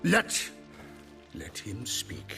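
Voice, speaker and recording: An actor playing a brooding, menacing vampire in a fantasy game, speaking formally with halting, ominous weight. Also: male voice, on the low side, wildly swooping pitch, creaky, over music, heavily acted. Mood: shaken disbelief. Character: brooding, menacing male vampire, proud and wounded. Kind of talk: video game cutscene acting.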